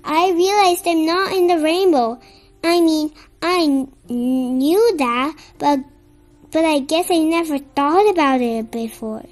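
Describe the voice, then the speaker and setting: A child reading a children's picture book aloud in an expressive, somewhat halting way, with stumbles. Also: high voice. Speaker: storybook reader.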